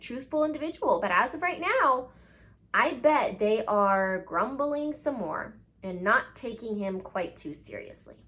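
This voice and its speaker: Animated, higher-voiced woman reading to children. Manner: emphatic, addresses children directly, draws out key words. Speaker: children's read-aloud host, teacher-like. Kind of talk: expressive storybook reading and talk to children.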